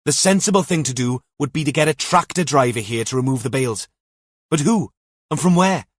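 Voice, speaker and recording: A man's voice: on the high side, animated, brisk, no echo, studio quality, voice pushed up. A man voicing a cautious, dryly wry, commanding female detective inspector in an audiobook. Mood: decisive, urgent. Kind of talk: audiobook character dialogue.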